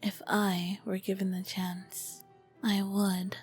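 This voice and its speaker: A woman playing an ancient, charming, flirty vampire queen in a whispered ASMR roleplay, with elegant, formal diction and a soft breathy voice. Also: mid-range voice, little pitch movement, slow pace, soft background music. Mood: flirty, teasing.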